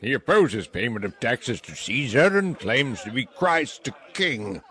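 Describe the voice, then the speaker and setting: A man reading Scripture aloud and voicing angry accusers in a loud, forceful way. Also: mid-range voice, very animated, unhurried. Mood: accusing. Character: angry male accusers demanding punishment.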